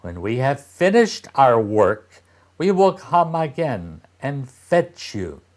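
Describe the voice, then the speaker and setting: A man's voice: medium pitch, sing-song, slow, pitched above the performer's own voice. A man voicing a cold, scolding stepmother in a storybook read-aloud, commanding and emphatic. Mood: brisk commanding.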